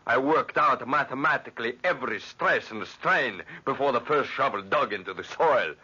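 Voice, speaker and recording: An actor playing an arrogant, tyrannical Italian master architect with an imperious, explosive manner in 1940s radio drama style. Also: male voice, medium pitch, lively intonation, moderate pace, sharp tone, breathy edge, heavily acted. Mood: proud, impatient. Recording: noisy, muffled 1940s radio recording.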